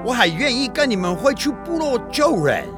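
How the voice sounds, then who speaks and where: Animated high-pitched missionary priest. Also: male voice, normal speed. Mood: earnest, pleading. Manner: animated, pleading, mixes in English phrases. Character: earnest Dutch missionary priest, adult man, urgent and conciliatory. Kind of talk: radio drama acting. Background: music.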